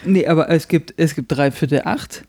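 Male podcast co-host, slightly higher voice, soft mellow tone, dry sound, jokey rambling chat. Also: moderate pace, dry recording. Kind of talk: casual banter podcast chat.

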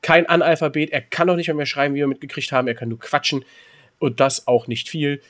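A man with a slightly high voice chats casually as a podcast co-host. He teases as he goes and rambles through his stories, often repeating words. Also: fairly even intonation, quick pace, fairly bright tone. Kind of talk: casual banter and storytelling.

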